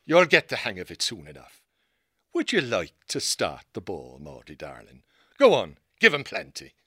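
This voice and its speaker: A man's voice: on the low side, wildly swooping pitch, normal speed, a bit bright, breathy edge, no room sound, studio quality, exaggerated voice. A man voicing a roguish, cheeky male hare who talks in swaggering slang. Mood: scheming. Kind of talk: audiobook character voice.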